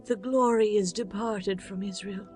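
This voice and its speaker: A woman's voice: medium pitch, steady tone, a little slow, music in the background, close to an everyday voice. A woman playing a solemn, sorrowful ancient Israelite in dramatized scripture. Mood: mournful.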